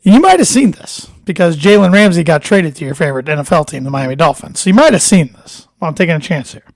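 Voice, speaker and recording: A man co-hosting a casual sports podcast, relaxed and conversational, his voice a bit hoarse. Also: a little high, no echo. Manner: relaxed, conversational, reads trivia questions slowly. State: slightly hoarse.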